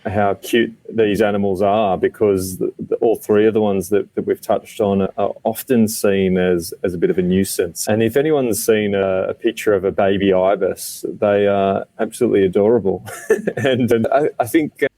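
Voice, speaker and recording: A man chats as a research guest in a low, dark-toned voice. He is hesitant and repeats words as he goes. The recording is very dry. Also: moderate pace, no room sound. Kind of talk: casual chat.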